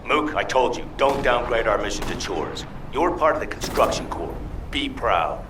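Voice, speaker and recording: A man voicing a gruff, proud captain in a video game, curt and commanding, in an echoey, noisy, distant recording. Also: medium pitch, animated, moderate pace, lightly acted. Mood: stern, curt.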